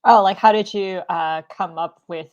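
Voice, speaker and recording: A woman's voice: medium pitch, normal speed, darkish tone, lo-fi sound. A woman hosting a casual author interview, asking questions in an informal way. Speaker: interviewer, bookstore event host.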